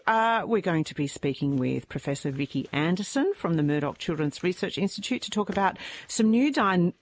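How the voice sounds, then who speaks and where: A woman's voice: deep voice, dry recording. A woman with an Australian accent hosting a live talk radio show, chatty and welcoming as she runs the segment.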